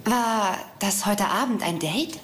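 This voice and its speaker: A woman's voice: mid-range voice, slow, fairly bright tone, reverberant. A woman dubbing a friendly, casual young woman in a sitcom. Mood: friendly, tentative. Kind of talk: German-dubbed sitcom dialogue. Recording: noisy TV soundtrack with audience laughter.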